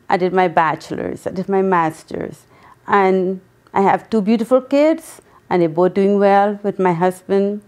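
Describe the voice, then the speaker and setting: A woman in her sixties from Guyana, answering interview questions earnestly, pausing often with ums. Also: mid-range voice, darkish tone. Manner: earnest, with many pauses and ums. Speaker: guest, memoir author.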